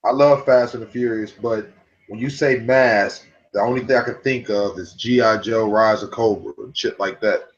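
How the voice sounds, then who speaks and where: A man's voice: fairly low pitch, fairly even intonation, normal speed. A man chatting casually on a podcast, rambling with many restarts and some swearing. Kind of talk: casual chat about movies. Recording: dull lo-fi mic.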